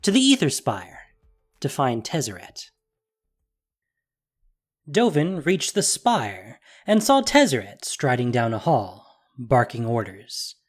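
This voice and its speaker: A man's voice: medium pitch, wildly swooping pitch, takes it slowly, pauses often. A man narrating a fantasy audio drama in a dramatic, scripted storytelling style. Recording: faint music behind voice. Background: faint music.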